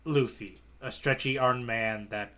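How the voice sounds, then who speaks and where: A man co-hosting a casual podcast as its guide, bantering in a playful, animated hype-man manner. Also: mid-range voice, unhurried, a little hiss or hum. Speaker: podcast co-host, tour guide. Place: remote online podcast recording.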